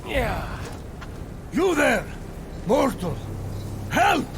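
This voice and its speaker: An actor playing a desperate stranded god in a video game, shouting and pleading for help. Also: male voice, extremely high pitch, sing-song, drawn-out words, gravelly, background noise, heavily acted.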